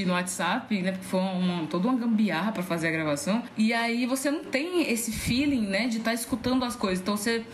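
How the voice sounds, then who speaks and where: A woman chatting casually and informally as a podcast guest, telling stories with plenty of slang. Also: mid-range voice. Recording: roomy echo, faint background noise.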